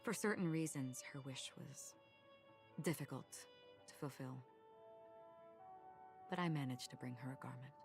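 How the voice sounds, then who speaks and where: Calm world-weary wanderer lady from a video game, low voice, solemn storytelling, faint music behind. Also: unhurried, pauses often. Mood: calm, reflective. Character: calm, solemn, world-weary wandering woman recounting what she has seen. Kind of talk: scripted video game dialogue.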